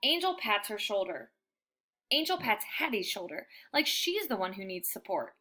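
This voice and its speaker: A woman reading a children's book aloud in an animated, emphatic storytelling style. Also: mid-range voice, normal speed. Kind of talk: expressive children's book narration.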